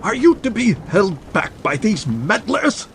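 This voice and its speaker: Man acting an arrogant captain, high-pitched and swooping. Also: sing-song, measured pace, vocal fry, a little hiss or hum, full character voice. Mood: thundering anger. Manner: sneering, imperious, commanding. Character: arrogant, desperate male military captain, thundering villain. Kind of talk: dramatized audiobook reading.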